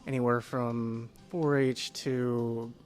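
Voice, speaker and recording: A young man, a guest in a casual interview, polite and soft-spoken, answering with many pauses and fillers. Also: medium pitch, flat delivery, faint music behind. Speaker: guest, group sales consultant.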